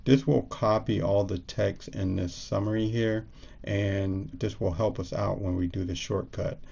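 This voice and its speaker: Low, gravelly man giving a tutorial. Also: steady tone, unhurried, warm tone, lo-fi sound. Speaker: tutorial presenter. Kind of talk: casual step-by-step screencast explanation.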